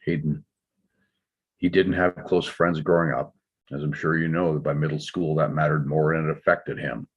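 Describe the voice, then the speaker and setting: Actor as very deep, husky, reserved older father. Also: fairly even intonation. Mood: defensive, measured. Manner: measured, explanatory. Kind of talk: scripted drama table read. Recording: dull, slightly muffled microphone sound.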